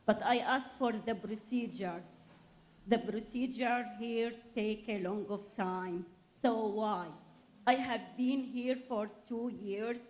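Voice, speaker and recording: A woman's voice: medium pitch, poor audio. A woman from Syria with an Arabic accent, making a personal comment from the audience at a public meeting.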